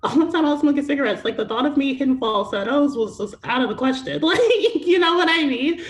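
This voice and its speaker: A young adult singer with a naturally high-pitched voice, talking casually in an interview and filling every pause with "like" and "you know what I mean". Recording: echoey room, faint noise.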